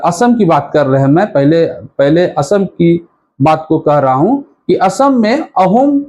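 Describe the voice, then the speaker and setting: A man's voice: a little high, lively intonation, unhurried, mellow. A man teaching history in an explanatory exam-prep style, repeating and rephrasing key points as he goes.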